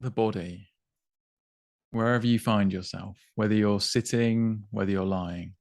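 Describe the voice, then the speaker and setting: Low-voiced man guiding a meditation. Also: steady tone, vocal fry, no echo. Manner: unhurried, gently instructive, long pauses between short phrases. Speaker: meditation guide. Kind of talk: guided body scan meditation.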